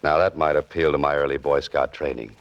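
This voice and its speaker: A man's voice: extremely low pitch, steady tone, brisk. An American man playing a wry, easygoing writer-adventurer in a 1940s radio detective drama, with laconic, hesitant asides. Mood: wry, reluctant. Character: wry, easygoing male writer-adventurer narrating his own case. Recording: dull old radio recording with music.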